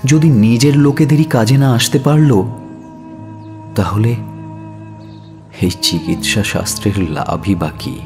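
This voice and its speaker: A man narrating a dramatic audio story in a solemn, measured and expressive manner. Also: on the low side. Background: music.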